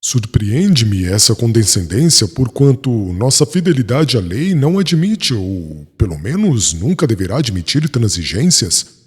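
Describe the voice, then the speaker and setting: Male actor as stern young rabbi, very raspy, animated pitch, proud, troubled, formal audiobook drama. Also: a little slow, full character voice. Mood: reproachful. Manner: indignant, formal, emphatic.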